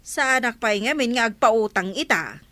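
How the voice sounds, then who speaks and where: A bright, forceful, fairly high Ilocano-accented woman's voice, played by an actress, as a grudging village councillor. She is curt and unwilling, in a dry-sounding radio comedy. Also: animated, moderate pace, dry recording. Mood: grudging, dismissive.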